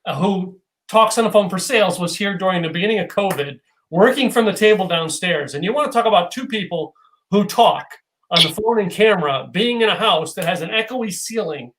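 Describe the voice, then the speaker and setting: A man's voice: high-pitched, animated, brisk, fairly bright tone, a little hiss or hum, poor audio. A man hosting a casual video-call interview from a loft room at home, rambling and joking with lots of fillers. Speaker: show host.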